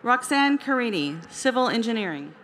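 A woman reading graduates' names and degrees at a commencement ceremony in a large outdoor stadium, pronouncing each name carefully and deliberately. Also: fairly high pitch, big pitch swings, fairly bright tone, slight background noise.

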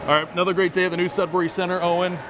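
A man's voice: high voice, flat delivery, racing, background noise. A man with a Canadian accent hosting upbeat, jokey promotional banter on camera in a shopping mall. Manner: jokey, punning. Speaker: host, radio personality. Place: inside a shopping mall and its stores. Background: background music.

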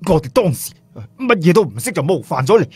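A man's voice: fairly high pitch, very animated, brisk, a little mellow, breathy edge, dry recording, pitched above the performer's own voice, exaggerated voice. A man voicing an anxious, superstitious old village chief in a flustered, pleading manner for an audio story. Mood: frightened, pleading.

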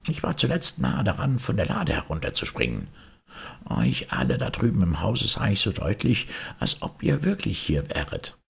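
Whiny high-pitched little tin soldier. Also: male voice, sing-song, normal speed, vocal fry, a bit bright, husky, heavily acted. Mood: wistful, chattering. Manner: plaintive, lamenting, theatrical. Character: small, whiny, restless, lonely tin soldier. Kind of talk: storybook character voice.

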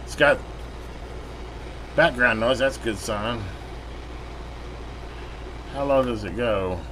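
A folksy American man with an average-pitched voice talks casually and rambles through a hands-on repair demonstration. He is plain-spoken, recorded in a workshop with clear background noise. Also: slow pace. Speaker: radio repair video host. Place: metal workshop building, indoors at a bench. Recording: steady background hum and radio noise.

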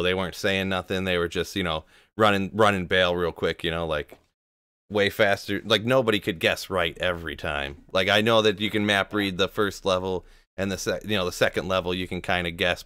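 A man's voice: low voice, fairly even intonation, fairly quick, sharp tone, clear, resonant tone, no room sound, studio quality. A man in his thirties from Michigan with a Midwestern accent, a guest chatting casually and slangily on a gaming podcast. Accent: Midwestern American. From Michigan, USA.

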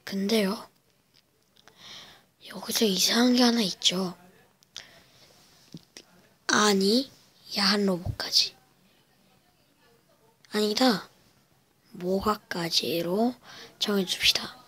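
Woman vlogger, whispering, breathy and bright, slow and halting delivery at home. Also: medium pitch. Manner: slow, hesitant, with many fillers. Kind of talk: whispered casual storytelling to camera. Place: home, indoors.

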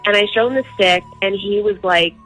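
A woman's voice: medium pitch, brisk, fairly bright tone, faint music behind, rough recording. A young adult woman caller telling a personal story casually, peppering it with "like". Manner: conversational, frequent "like".

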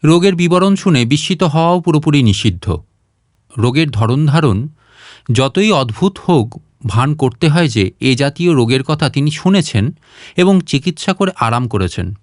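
Male narrator for short fiction: slightly high voice, very dry close sound, expressive reading. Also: normal speed, no echo. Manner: expressive, acts out dialogue. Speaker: storyteller, audiobook narrator. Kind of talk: dramatised scripted short-story narration.

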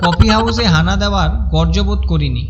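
High-voiced man narrating a ghost story. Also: fairly quick, noisy background. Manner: wry, chatty storyteller.

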